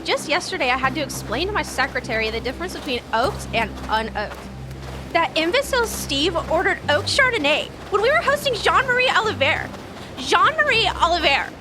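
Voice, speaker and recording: An actress playing a snobbish, disdainful 1980s corporate wife in an audio drama. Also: female voice, extremely high pitch, sing-song, brisk, over music. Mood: exasperated. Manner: snooty, exasperated.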